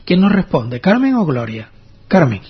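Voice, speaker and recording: Chatty radio host, husky. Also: male voice, on the high side, big pitch swings, moderate pace. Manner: chatty, with many restarts and tag questions. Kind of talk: radio interview. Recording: dull lo-fi radio audio.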